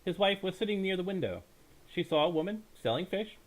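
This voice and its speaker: A man's voice: high-pitched, animated, poor audio. A man reading a bedtime story aloud in a dramatic storytelling style, stumbling now and then over the text. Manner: halting, stumbles and corrects himself while reading. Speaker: storyteller, reader.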